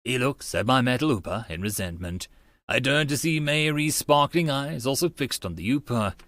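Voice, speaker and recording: A man's voice: medium pitch, lively intonation, normal speed, fairly bright tone, dry recording, clean studio sound. A man narrating a first-person story in a dramatic audiobook style.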